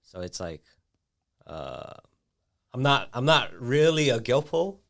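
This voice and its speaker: A young man, speaking in halting, mumbled, slangy bursts in a casual chat. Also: mid-range voice, big pitch swings, fairly bright tone. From Korea, raised in the Bay Area. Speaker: guest.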